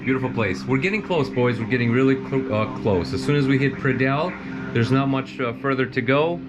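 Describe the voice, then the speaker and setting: A man's voice: medium pitch, fairly quick, dull audio, over loud music. A middle-aged man from Romania, chatting casually and rambling as he streams a flight and talks to his chat, laughing often. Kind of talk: casual live-stream commentary.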